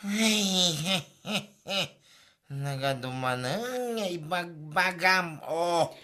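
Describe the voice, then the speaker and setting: A woman's voice: on the low side, drawn-out words, voice pushed down, heavily acted. A woman voicing a rowdy drunk middle-aged man who slurs and laughs in an amateur comedy audio drama. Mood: drunken giggly. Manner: slurred, laughing, shouting.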